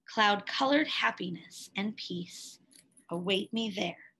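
A woman reading a picture book aloud expressively, in a measured way with dramatic pauses and emphasis. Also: medium pitch, animated, bright, forceful tone, breathy edge, low-quality recording. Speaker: read-aloud storyteller, teacher.

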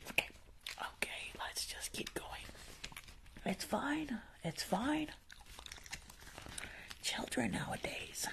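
A young woman mostly whispers her way through an ASMR roleplay as a grumpy school nurse. Her breathy voice has a crackly edge and sits on the high side. She is blunt and tends to repeat herself, close up to the microphone. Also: expressive, slow, long pauses. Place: small indoor room.

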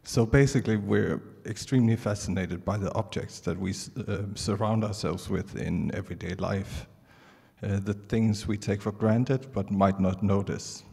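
A middle-aged Danish man with a Danish accent, giving a prepared artist's talk on stage in a halting, measured way. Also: on the low side, vocal fry, warm tone, husky. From Denmark. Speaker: artist presenting at a conference. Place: large indoor theatre stage. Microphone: handheld microphone. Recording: reverberant auditorium PA sound.

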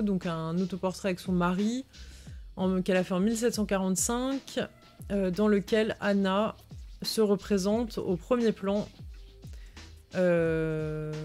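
Female livestream co-host, mid-pitched and soft-edged, translating live, faint music underneath. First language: French. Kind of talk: live translation and commentary of an encyclopedia article. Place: indoor room, livestream.

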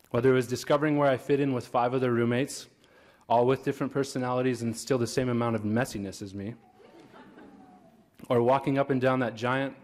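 A man's voice: mid-range voice, a little mellow. A young man giving a commencement speech at a podium on a large auditorium stage, earnest with light jokes. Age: young adult. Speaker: graduating student speaker. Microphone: podium microphone.

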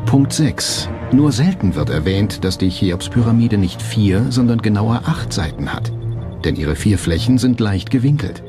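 A man narrates a documentary in a fairly low voice with lively rises and falls. His delivery is scripted, measured and factual, with music clearly playing behind him. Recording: music bed under the voice. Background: background music.

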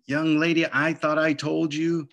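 A man's voice: on the high side, flat delivery, unhurried, creaky, fairly bright tone, muffled recording, pitched above the performer's own voice. A man voicing a storybook mother with a firm, exclamatory manner. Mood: suspicious, scolding. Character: mother, cheerful baker turning sternly suspicious.

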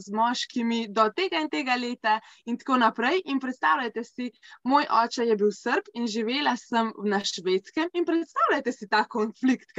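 Live interpreter, woman, 30s to 40s, slightly high voice, dry but lo-fi call sound. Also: a bit bright, no echo. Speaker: consecutive interpreter. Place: small indoor room, video call. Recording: dull lo-fi video-call sound.

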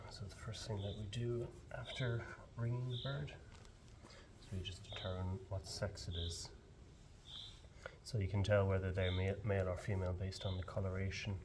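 A young man with an Irish accent and a low voice talks calmly through the steps of handling a chick. He speaks in an open farm shed on a camera mic, with steady outdoor ambience noise behind him. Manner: calm, explanatory. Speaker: barn owl ringer. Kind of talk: explaining fieldwork on camera.

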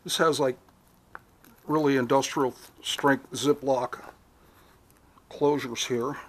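A middle-aged man giving a casual, unhurried, matter-of-fact hands-on gear demonstration, recorded outdoors on a porch on a body-worn action camera. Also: mid-range voice, steady tone. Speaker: hobby angler demonstrating gear. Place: covered concrete porch outdoors. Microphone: head or chest-mounted action camera.

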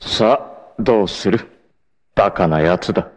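An actor playing a proud, cool martial arts warrior in a 1980s anime, with a sardonic, dramatic delivery. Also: male voice, low-pitched, unhurried, darkish tone, dry recording. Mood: scornful, taunting. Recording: noisy 1980s anime soundtrack.

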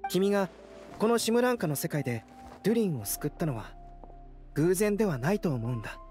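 A soft-spoken young alchemist, patient and methodical as he lays out a plan. His voice sits fairly high, with game music below it. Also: big pitch swings. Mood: analytical. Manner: gentle, measured explanations. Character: calm young male alchemist, patient and analytical. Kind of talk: video game voice acting. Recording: game cutscene audio with soundtrack under it.

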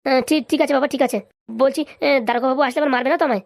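A woman acts out a cowardly grown son in a comic cartoon, in a high, dry voice. He rattles along extremely fast, stammering and pleading. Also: steady tone, gravelly, no echo. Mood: nervous.

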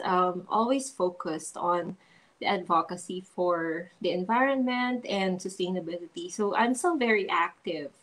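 A woman from the Philippines with a Filipino accent, a pageant titleholder speaking earnestly in a casual interview over a video call, pausing often with ums. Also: mid-range voice. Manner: earnest, hesitant with frequent ums. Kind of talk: casual interview, mixing English and Tagalog.

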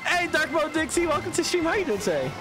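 A young woman in her twenties chats and cheers loudly while racing karts with friends, welcoming viewers as she goes. Her voice swings widely in pitch, with game music under it. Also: sing-song, quick pace. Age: 20s. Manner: chatty, greets chat, cheers loudly. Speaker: co-player. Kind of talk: casual gaming chat.

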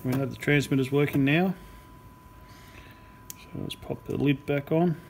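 A man's voice: medium pitch, measured pace, vocal fry, a little mellow. A man giving a hands-on repair demonstration, explaining each step as he works. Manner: explanatory, talking while working. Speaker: radio repair technician presenter. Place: indoor electronics repair workbench. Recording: noisy workshop recording with clicks. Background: workshop noise, clicks, test tones.

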